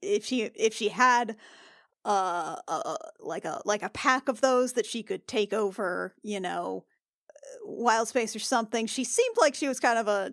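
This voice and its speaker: A woman playing a tabletop roleplaying game, improvising dialogue in a casual, halting, informal way. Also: fairly high pitch, no room sound, studio quality.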